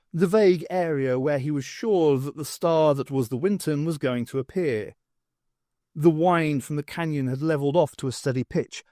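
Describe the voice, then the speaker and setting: A man's voice: fairly high pitch, mellow, no room sound, clean studio sound. A man reading scripted dramatic narration of a science fiction story as an audiobook narrator. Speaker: audiobook reader.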